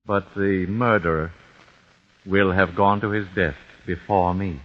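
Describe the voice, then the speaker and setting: A man's voice: deep voice, fairly even intonation, measured pace. An actor playing a grim father avenging his son, formal and icily polite, in 1940s radio acting. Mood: cold, menacing. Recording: dull lo-fi old radio recording with faint hiss.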